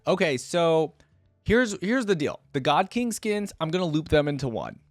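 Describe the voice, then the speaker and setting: Casual reaction guy with swooping, lively pitch. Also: no echo. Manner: slangy, thinks aloud, hedging opinions as he goes. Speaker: reaction video host.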